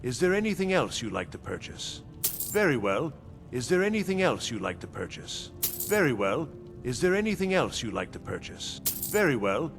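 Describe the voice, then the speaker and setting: An actor playing a courteous, formal, fussy castle steward in a fantasy video game. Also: male voice, medium pitch, vocal fry, a bit bright. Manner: polite, formal. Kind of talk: video game character dialogue. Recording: long reverb, music behind.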